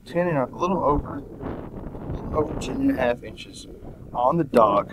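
An excitable man hosts a fishing vlog outdoors on a boat, his pitch swinging widely. Faint outdoor noise sits behind him. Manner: excitable, exclaiming, quick laughs. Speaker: fishing channel host.

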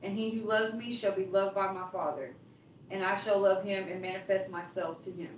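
A woman in a home Bible study group reading scripture aloud in a steady, measured way, recorded by a camera in a living room. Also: medium pitch, a little hiss or hum. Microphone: far camera in the room. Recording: distant voice in echoey room.

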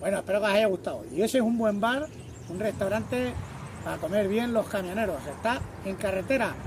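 A man's voice: sits high, expressive, brisk. A middle-aged man, a truck driver, chatting casually to a phone camera held at arm's length outdoors. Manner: chatty, greets viewers as artists. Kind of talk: casual vlog chat to camera. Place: outdoors by trucks and roadside, windy. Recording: noisy outdoor phone audio. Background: wind and traffic noise.

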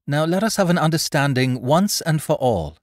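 A man's voice: sits high, sing-song, measured pace, a little mellow, no echo, studio quality, voice pushed up. A man voicing a cool, blunt, commanding young woman in a seafaring storybook. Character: commanding, level-headed young woman aboard a mutinous ship. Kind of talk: audiobook character voice, period seafaring speech.

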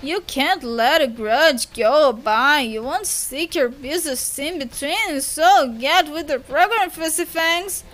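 A woman's voice: sits high, slow pace, few pauses, fairly bright tone, faint music behind. A woman voicing a brash, hostile young male troll who talks in a profane, pushy, sneering way.

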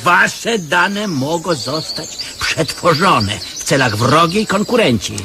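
An actor playing a wily, bossy blind beggar with a folksy, declamatory way of talking, in a radio comedy drama. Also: male voice, fairly high pitch, animated, slow, noisy background. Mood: bossy, scolding.

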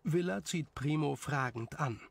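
A man's voice: on the high side, measured pace, gravelly, breathy edge, no echo. A man reading scripted story narration as the narrator of an audio tale.

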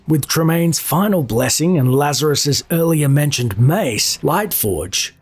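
A man's voice: fairly high pitch, animated, a little slow, slightly rough. A man delivering scripted narration as the narrator of a video essay.

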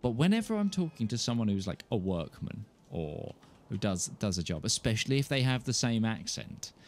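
Young man, southern English accent, nasal, rambling self-mocking stream chat, lowish voice, faint music behind. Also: sing-song, brisk. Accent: southern English, mild Kentish. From England. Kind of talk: casual live-stream chat while playing. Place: indoor home room, gaming chair. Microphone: desk mic in front of him.

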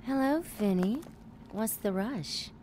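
A seductive supernatural temptress from a radio drama. She coaxes menacingly and slowly in a lowish, swooping voice over clear ambient noise. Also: expressive, dark tone, rough recording.

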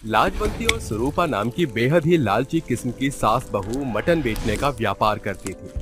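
A man's voice: mid-range voice, animated, moderate pace, fairly bright tone. A man narrating a scripted cartoon story as its storyteller. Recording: music behind the voice.

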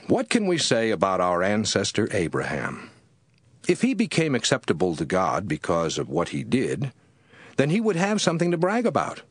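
A man reading scripture as a scripted audiobook, with an emphatic, measured and rhetorical delivery. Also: on the low side, slightly rough, no room sound, clean studio sound. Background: music under the voice.

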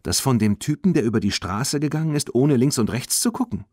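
A man voicing a lively, curious teenage girl in a children's audiobook. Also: mid-range voice, a little fast, no echo, studio quality, voice pushed up, close to an everyday voice. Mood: puzzled, curious.

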